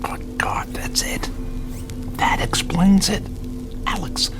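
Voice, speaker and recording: A man's voice: high voice, slow, whispered. An actor playing a skeptical, sardonic man urgently leading a frightened friend to safety in a radio drama. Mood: scornful. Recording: loud music bed.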